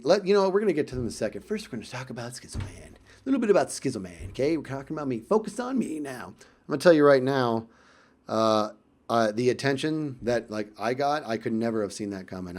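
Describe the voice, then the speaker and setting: Man telling a story, casual and animated. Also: medium pitch. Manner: animated, emphatic, repeats phrases for effect. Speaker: YouTuber telling a personal story.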